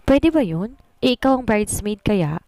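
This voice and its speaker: A woman's voice: medium pitch, big pitch swings, moderate pace, dark tone, no echo, pitched above the performer's own voice. A woman voicing a nervous young bride, fretful and pleading but determined, in a Filipino romance audio story.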